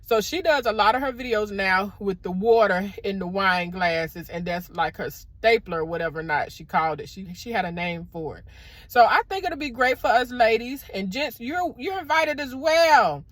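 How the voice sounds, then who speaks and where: Middle-aged woman, motivational vlogger, loud deep voice, dry close lapel sound. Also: animated, moderate pace, dry recording. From American South. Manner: warm preacherly encouragement, addresses viewers as y'all. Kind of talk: casual motivational talk to camera. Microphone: clip-on microphone.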